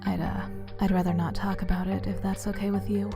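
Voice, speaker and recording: A woman's voice: medium pitch, little pitch movement, fairly quick, gravelly, music in the background, natural voice. A woman playing an aloof, guarded young soldier in an audio drama, with a cool, dry delivery. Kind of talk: fan audio drama acting.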